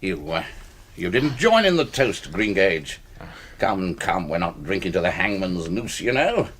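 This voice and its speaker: An actor playing a pompous, needling older British colonel in a radio drama, with clipped, old-fashioned phrasing. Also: male voice, low-pitched, sing-song, a little slow, a bit bright, slightly rough, exaggerated voice. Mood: genial, needling.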